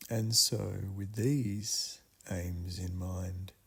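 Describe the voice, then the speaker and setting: A man speaks in a low, husky voice with a heavy creak. He leads a guided meditation ritual solemnly and deliberately, setting out short phrases with long pauses between them. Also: steady tone, fairly bright tone.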